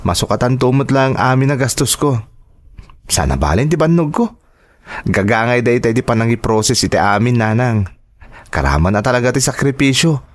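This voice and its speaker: A man acts as a dutiful grown son in an Ilocano drama. His pitch sits in the middle, and he speaks with an Ilocano accent, earnest and respectful toward his elders. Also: animated, normal speed, lightly acted. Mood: tired, earnest. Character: earnest, respectful adult son hoping to work abroad. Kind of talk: Ilocano radio-style drama acting.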